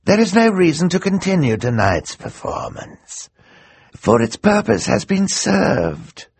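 A man's voice: sits high, big pitch swings, unhurried, vocal fry, breathy edge, no room sound, pitched above the performer's own voice, exaggerated voice. A man voicing a scheming, theatrical male villain who gloats and mocks, in an audiobook. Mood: gloating, grand. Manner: gloating, grand and mocking.

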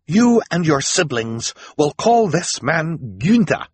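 Man as snobbish wealthy woman, raised pitch, wildly animated, bossy, emphatic, audiobook character. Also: unhurried, breathy edge, no room sound, heavily acted. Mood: threatening. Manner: haughty, impatient, emphatic. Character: imperious, vain, wealthy woman, snappish guardian.

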